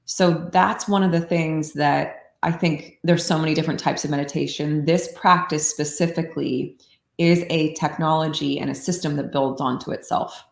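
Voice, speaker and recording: A woman explaining meditation practice in a calm, unhurried way, often saying "like", in casual podcast conversation. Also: low-pitched, vocal fry, reverberant. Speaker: meditation teacher.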